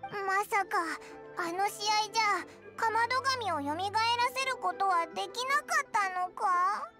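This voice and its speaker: Pint-sized fairy mascot for an anime game: squeaky-high, cheeky, blunt. Noticeable music bed. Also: female voice, normal speed. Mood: surprised, puzzled. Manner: squeaky, boyish rough speech, blunt. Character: tiny childlike floating fairy companion, cheeky. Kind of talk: Japanese anime game voice acting. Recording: music behind game dialogue.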